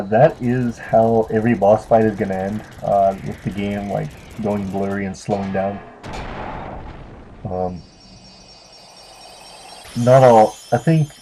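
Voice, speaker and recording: A man's voice: on the low side, dark tone, a little hiss or hum, rough recording. A young man giving casual, unscripted video game commentary in a hesitant, rambling, offhand way. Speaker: let's play commentator. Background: video game music.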